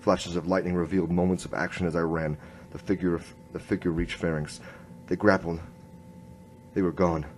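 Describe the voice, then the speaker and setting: An actor playing an earnest, halting noir police inspector who narrates his case in a radio drama. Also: sits low, little pitch movement, a little hiss or hum, natural voice. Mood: brooding, tense. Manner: hard-boiled first-person storytelling, halting.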